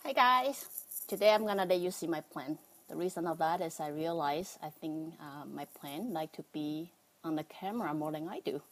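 A woman from Taiwan with a Taiwanese accent, talking casually on a livestream, halting with many ums and nervous laughs. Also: low-pitched, low-quality recording. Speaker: livestream host, life coach.

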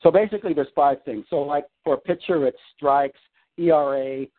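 A man's voice: a little high, moderate pace. Man, phone call from home, tinny narrow line, rambling with restarts. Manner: rambling with many restarts and fillers. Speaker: client on a coaching call. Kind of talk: casual phone conversation.

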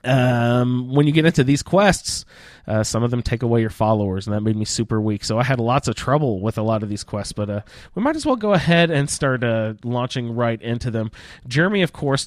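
A man hosts a casual gaming podcast at a middling pitch. His phrasing is halting, with pauses to search for words. The sound is dry and close to the mic. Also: expressive, no echo. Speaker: podcast host. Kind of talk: casual roundtable chat about a video game.

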